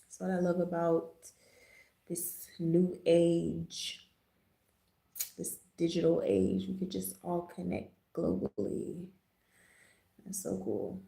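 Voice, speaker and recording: A woman's voice: on the low side, takes it slowly, creaky, long pauses, dark tone. A woman with an American accent, chatting casually and in a laid-back, slangy way as she hosts a livestream. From Jamaica, raised in the US. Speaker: livestream host. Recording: roomy, echoing livestream audio.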